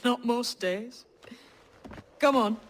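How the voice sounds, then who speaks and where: A woman's voice: on the high side, very slow, a little hiss or hum. An actress playing a bold, sly woman pirate in disguise, with a teasing, tough manner. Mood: urgent.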